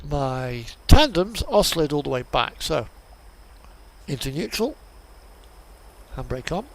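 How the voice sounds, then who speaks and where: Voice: mid-range voice, sing-song, unhurried, vocal fry, a little hiss or hum. A game streamer giving casual live commentary, thinking aloud and muttering through options.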